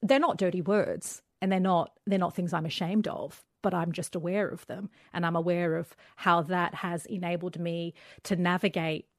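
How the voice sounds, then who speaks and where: An Australian woman with a fairly low voice speaks as a guest author in a podcast interview. She talks with animated, candid emphasis. The recording is dry and close. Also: normal speed, dry recording. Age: young adult. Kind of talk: conversational interview.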